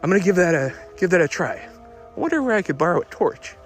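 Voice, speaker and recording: A man playing an excitable, obsessive car lover who records a rambling, stammering, eager audio diary. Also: high voice, faint music behind, voice pushed up. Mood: restless, eager. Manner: halting, stammering, breathlessly eager.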